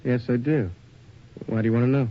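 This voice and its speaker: An actor playing a cocky, pushy fashion photographer in a 1980s radio drama, talking casually. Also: male voice, mid-range voice, fairly even intonation, brisk, natural voice. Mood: shaken, wary. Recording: muffled, noisy old radio drama recording.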